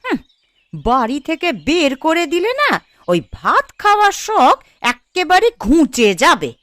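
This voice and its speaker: Shrill, scolding cartoon aunt. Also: female voice, sing-song, a little slow, no room sound, exaggerated voice. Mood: scornful, scheming. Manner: sharp-tongued, sarcastic, bossy. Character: scheming, scolding middle-aged village aunt, doting mother. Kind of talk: Bengali cartoon voice acting.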